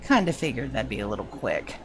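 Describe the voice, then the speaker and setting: A woman's voice: a bass voice, big pitch swings, a little fast, slight background noise. A woman with a Georgia accent and a slight lisp, chatting casually to viewers while streaming a game. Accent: Southern US, Georgia. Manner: chatty, greets viewers by name. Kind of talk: casual live gameplay commentary.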